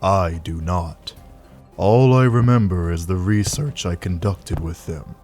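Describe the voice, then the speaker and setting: A man playing a cold, formal villain in a scripted game parody, speaking in measured, archaic phrases with detached restraint. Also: low voice, darkish tone, soft background music. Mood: somber, detached. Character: cold, formal, heartless villain with a lingering will.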